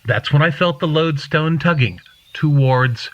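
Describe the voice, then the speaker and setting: An actor playing a defiant, zealous cult killer in an audio drama, unrepentant and self-righteous. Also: male voice, on the high side, normal speed, highs cut off, lightly acted.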